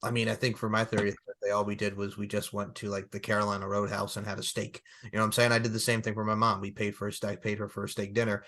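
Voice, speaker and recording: A man's voice: fairly low pitch, fast talker, few pauses. A man, co-hosting a casual podcast chat and leaning on filler phrases. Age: 30s. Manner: animated, repeats filler phrases. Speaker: podcast co-host.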